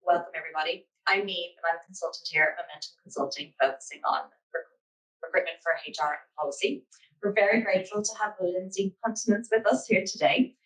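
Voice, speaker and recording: A woman's voice: lowish voice, fast talker, fairly bright tone, recorded from a distance, low-quality recording. A woman hosting a webinar, reading a polished prepared introduction. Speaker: host.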